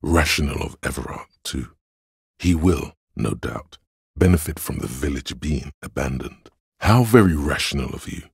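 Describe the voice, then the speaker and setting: Analytical inner voice, video game narration, rock-bottom pitch, very raspy, deliberate, wry, dry close sound. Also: male voice, expressive, no echo, exaggerated voice. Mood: wry, calculating. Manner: measured, deliberate, dryly wry. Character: voice of Logic, a cool analytical inner voice in a detective's head. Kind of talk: scripted second-person game narration.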